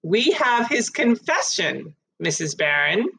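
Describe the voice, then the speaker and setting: A woman voicing a calm male police inspector in a storybook reading, formal and measured as he accuses. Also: medium pitch, vocal fry, a little hiss or hum, full character voice. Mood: stern.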